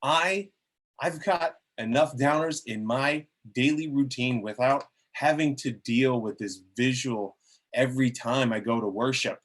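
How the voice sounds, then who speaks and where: A man acts out a glib cardinal giving a public speech at a table read. His voice is middle-pitched and husky. He addresses the crowd in an oratorical, salesmanlike way. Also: measured pace, fairly bright tone. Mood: persuasive. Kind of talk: amateur script table reading of a public speech.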